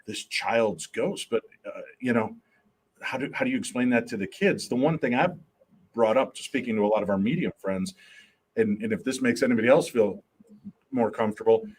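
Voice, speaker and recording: A man in his fifties, a paranormal investigator guest with a booming voice, telling animated stories on a live podcast. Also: moderate pace, slight background noise. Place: live event stage.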